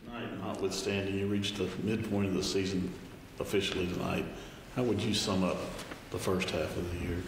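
A very deep, flat male voice asks the questions from across the room. The sound is echoey, with a long reverb and a clear hum of room noise behind him. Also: normal speed, hardly any pauses. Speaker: reporter.

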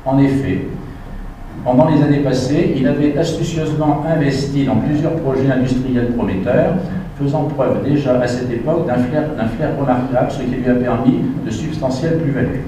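A man delivers a history lecture at a middle pitch, reading his prepared text and stopping now and then to point out his slides. He is recorded from a distance in a very echoey lecture hall with clear background noise. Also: steady tone, moderate pace, dark tone. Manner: reads prepared text, adds asides to the audience.

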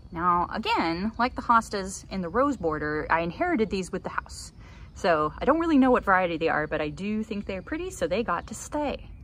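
A woman's voice: mid-range voice, sing-song, normal speed, a bit bright. A woman in her thirties giving a casual, chatty garden tour, recorded outdoors on a handheld phone. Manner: chatty, cheerful asides. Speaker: gardening vlogger. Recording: outdoor ambient noise.

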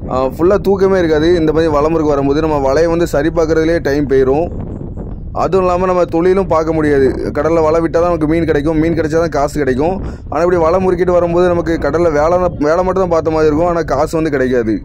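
Fast-talking Tamil-accented fisherman narrating. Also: a little high, fairly even intonation, noisy background. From India. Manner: explanatory, repetitive, addresses viewers directly. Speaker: fisherman vlogger. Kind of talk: explaining narration over footage.

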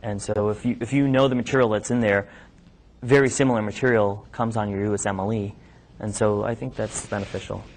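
A man's voice: lowish voice, fairly even intonation, fairly quick. A man in his thirties from New York, a physician giving an informal talk to medical students in a classroom, speaking conversationally with many ums and restarts. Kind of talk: informal talk with questions from the audience. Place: classroom lab, indoors.

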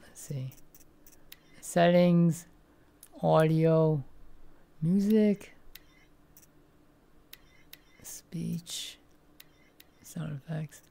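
A woman's voice: low voice, extremely slow, creaky, pauses often, mellow. A woman streaming a VR game from home, chatting casually as she plays, full of hesitant restarts and thinking aloud. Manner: rambling, hesitant, lots of restarts. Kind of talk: casual live-stream commentary. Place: room at home, seated in a VR headset.

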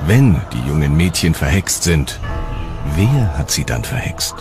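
A man's voice: a bass voice, big pitch swings, a little slow. A man reading scripted, dramatic narration for a history documentary. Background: music.

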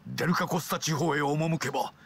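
An actor playing a grave, uneasy old king in a fantasy video game, husky and stately, with an old man's archaic phrasing. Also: on the high side, fairly quick, a bit bright, exaggerated voice. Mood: solemn. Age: older.

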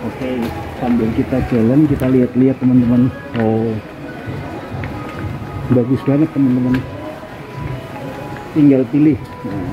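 A man's voice: medium pitch, steady tone, a little slow, pauses often, muffled recording, music in the background. A man walking through a large indoor building supply store, chatting casually to his viewers as he looks around. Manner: chatty, addresses viewers as friends. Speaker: vlogger.

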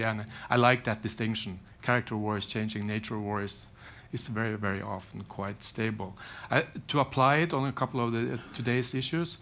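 Panelist, male, 40s, measured and halting, headset mic in a hall. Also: on the low side, fairly bright tone. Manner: measured, hesitant, many restarts. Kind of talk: conference panel remarks. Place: conference hall panel stage.

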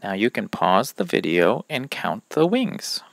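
A man reading rhyming verses aloud from a children's picture book in a deliberate, expressive way, pausing between phrases. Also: fairly low pitch, creaky, dry recording. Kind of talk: children's story read-aloud of rhymes.

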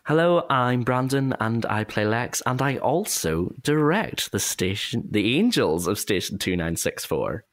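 A man's voice: medium pitch, sing-song, measured pace, few pauses, no room sound. A man, an actor and director, chatting casually in a rambling way and correcting himself as he goes. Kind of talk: casual cast chat.

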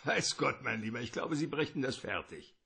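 An actor playing a wordy, courteous, calculating middle-aged crime boss in a radio detective drama, with theatrical politeness. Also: male voice, medium pitch, expressive, normal speed, creaky, pushed and bright, husky, heavily acted. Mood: suavely bargaining.

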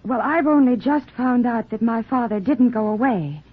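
An actress playing an anxious, pleading, well-bred young daughter in 1940s radio drama style. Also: female voice, a little high, animated, moderate pace, slightly rough. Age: young adult. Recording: muffled, narrow 1940s radio recording.

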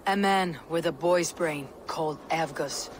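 A woman's voice: sits low, measured pace. A woman playing a stern, self-assured, defiant Viking warrior in a video game, with terse, confident lines. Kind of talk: dramatic video game acting. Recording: noisy ambience behind the voice.

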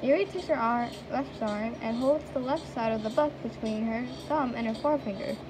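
A woman reading game narration aloud in a casual way, quick and stumbling over words. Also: on the high side. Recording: noisy home recording.

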